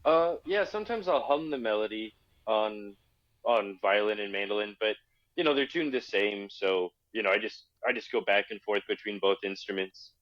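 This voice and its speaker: A man with a low voice, a musician guest, answering in a relaxed, thoughtful, halting way. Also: highs cut off. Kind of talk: casual interview chat.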